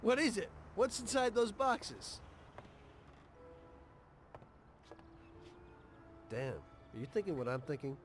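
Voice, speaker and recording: Higher-voiced, wary American mobster. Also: male voice, big pitch swings, moderate pace, slight background noise. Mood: excited. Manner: blunt, skeptical, questioning. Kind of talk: video game cutscene acting.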